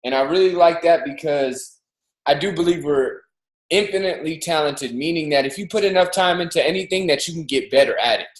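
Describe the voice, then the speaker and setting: A man in his thirties giving an energetic, preachy motivational talk as co-host of a livestreamed show, pushing his voice up on key points. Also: on the high side, fairly quick, roomy sound, rough recording. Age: 30s. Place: home in Miami, livestreamed call. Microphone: video call.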